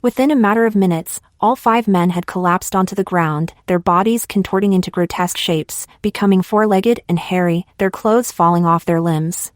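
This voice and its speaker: A woman with a fairly low voice narrates dramatic fiction for an audiobook. Her delivery is a scripted read. The recording is very dry, with no audible room echo. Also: normal speed, few pauses.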